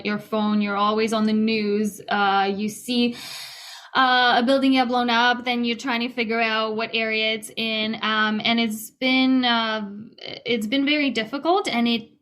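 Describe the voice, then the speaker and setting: Earnest woman from Ukraine, halting speech. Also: on the high side, fairly bright tone. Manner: earnest, halting with many restarts and fillers. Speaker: guest musician. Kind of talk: remote interview conversation. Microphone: video call.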